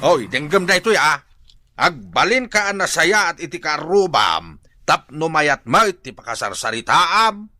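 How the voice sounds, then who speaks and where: A man's voice: a little high, big pitch swings, unhurried, bright, forceful tone, dry recording, studio quality, full character voice. A man with an Ilocano accent playing a husband who lectures his wife in a radio drama. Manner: scolding, lecturing.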